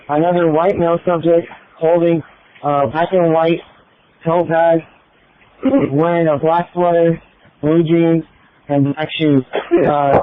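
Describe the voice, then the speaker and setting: A man working as a transit police officer, giving terse incident reports over a radio from the scene. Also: fairly high pitch. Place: out at a train station incident. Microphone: handheld police radio. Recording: narrow crackly police radio with static.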